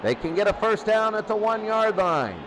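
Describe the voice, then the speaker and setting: A man doing excitable play-by-play football commentary in a radio style, often repeating key phrases. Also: high-pitched, normal speed, background noise.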